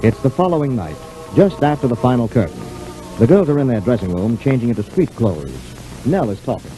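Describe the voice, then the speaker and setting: A man's voice: mid-range voice, very animated, a little fast, low-quality recording. A man narrating a serial as announcer in scripted 1940s radio style. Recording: old 1940s radio broadcast. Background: music.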